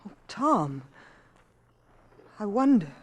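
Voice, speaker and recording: American protective mother, mid-pitched, animated inflection, gentle but firm, vintage radio acting. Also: drawn-out words, heavy background noise. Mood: concerned. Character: protective, sceptical mother, a working American woman. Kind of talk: 1950s radio drama acting.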